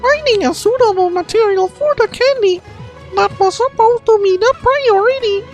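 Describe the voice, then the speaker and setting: A man voicing a proud, bossy senior female scholar in a game, brisk and scolding. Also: very high-pitched, soft background music, voice pushed up. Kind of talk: game character voice acting.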